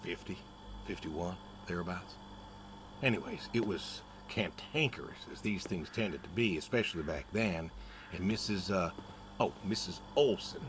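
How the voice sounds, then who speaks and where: An actor playing a weathered, stubborn older pig farmer in a video game, with a wry, folksy, hesitant way of telling things. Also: male voice, deep voice, noisy background, close to an everyday voice. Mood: wistful, wry. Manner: folksy, hesitant, unhurried storytelling. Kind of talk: naturalistic video game acting.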